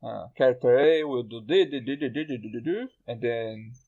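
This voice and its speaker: A man hosting a casual group podcast chat, speaking haltingly and repeating his words. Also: mid-range voice, dark tone, slightly rough, dull audio. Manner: halting, repeats words, often breaks into laughter. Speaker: podcast host. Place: remote group voice call.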